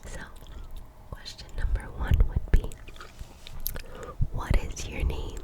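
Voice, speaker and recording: A woman in her twenties from Colombia, whispering a casual ASMR chat and softly repeating trigger words. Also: rough recording. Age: 20s. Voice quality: breathy unvoiced whisper. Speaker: ASMR creator.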